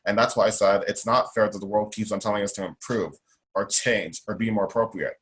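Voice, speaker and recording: Outspoken young man, low voice. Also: fairly even intonation, brisk, a bit bright. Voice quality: strong voice. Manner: emphatic, outspoken, repeats himself. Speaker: guest, self-advocate. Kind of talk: interview conversation.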